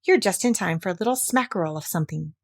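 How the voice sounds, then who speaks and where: A woman voicing a good-natured, simple-minded male toy bear in a storybook reading, talking slowly and earnestly. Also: on the low side, lively intonation, creaky. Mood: cheerful.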